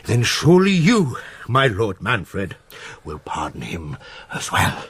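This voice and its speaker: A man playing a dignified, forgiving noble father in a Gothic radio drama, slow and formal. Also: fairly low pitch, wildly swooping pitch, a bit bright, a little hiss or hum, heavily acted. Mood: solemn, forgiving.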